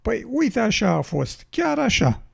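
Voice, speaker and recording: A man's voice: extremely high pitch, sing-song, vocal fry, breathy edge, heavily acted. A man playing an anxious, well-meaning road builder with a plaintive manner, in an educational radio drama. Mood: relieved.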